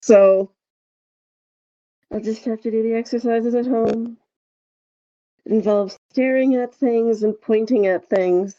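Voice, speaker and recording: A woman co-hosting a podcast in casual chat, telling things in a rambling, halting way. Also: fairly high pitch, fairly even intonation, warm tone, no echo, dull audio. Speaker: podcast co-host.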